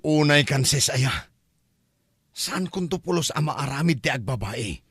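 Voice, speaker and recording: A man's voice: on the high side, lively intonation, unhurried, fairly bright tone, slightly rough, no echo, studio quality, exaggerated voice. A man acting an exasperated boyfriend worn down by a clingy partner in an Ilocano comedy drama, with an Ilocano Filipino accent and a complaining, whining delivery. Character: exasperated, hard-pressed boyfriend of a clingy woman.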